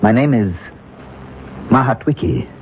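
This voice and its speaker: Bewildered scout, halting, deliberate, earnest, highly animated intonation; muffled radio drama sound. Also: male voice. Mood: solemn, halting. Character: bewildered, earnest Native scout in a modern man's body. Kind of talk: old-time radio drama acting. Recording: muffled, faintly noisy radio broadcast recording.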